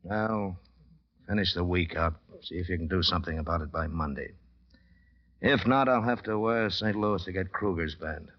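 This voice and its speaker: Club boss, lowish voice, blunt yet regretful, businesslike, vintage radio drama, muffled sound. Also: male voice, normal speed. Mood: regretful, firm. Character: blunt but regretful nightclub manager. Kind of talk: 1940s radio drama acting. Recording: dull old radio recording.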